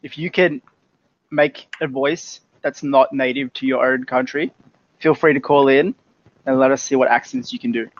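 A man's voice: medium pitch, fairly even intonation, normal speed. A young Australian man with an Australian accent, co-hosting a podcast in an easygoing, earnest casual chat. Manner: easygoing, earnest, uses lots of fillers. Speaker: podcast co-host. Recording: dull, slightly muffled with faint hiss.

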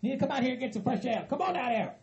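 Man playing a stammering, high-voiced Southern hillbilly peacemaker. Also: rapid-fire, creaky, lo-fi sound, full character voice. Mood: placating. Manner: stammering, repetitive, pleading for calm. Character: earnest hillbilly kinsman, stammering, placating peacemaker.

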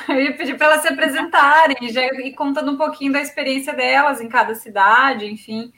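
Bright, high-voiced woman co-host. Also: steady tone, a little fast. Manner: chatty, laughing easily. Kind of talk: casual talk show hosting.

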